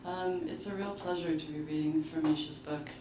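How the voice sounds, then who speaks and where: A woman giving a measured, deliberate literary reading of short fiction at a live book launch. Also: low-pitched, steady tone. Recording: roomy, distant mic, clearly noisy live venue.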